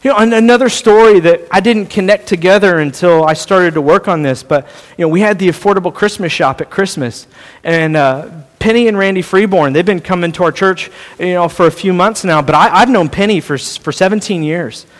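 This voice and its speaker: A male pastor preaching a sermon, animated and playful, acting out little voices to make his point. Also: sits high, brisk. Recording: long hall reverb.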